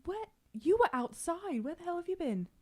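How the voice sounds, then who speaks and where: A woman's voice: extremely high pitch, very animated, normal speed, no echo. A woman playing a tabletop roleplaying game, improvising casually and often speaking in character.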